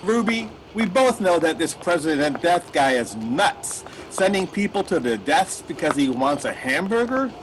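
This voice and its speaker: A man's voice: a little high, very animated, moderate pace, hardly any pauses, noisy background. A man playing a jittery, callous company boss in a satirical radio play, exclamatory and mock-earnest. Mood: complaining. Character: jittery, callous male meatpacking boss named Rat.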